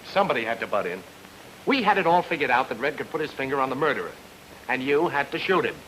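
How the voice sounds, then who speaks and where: A man's voice: mid-range voice, expressive, fast, lightly acted. A man playing a hard-boiled, sardonic private detective in an old-time radio noir, with a wry, clipped way of explaining things. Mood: indignant, sarcastic. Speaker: actor in a radio detective drama. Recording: noisy old radio recording.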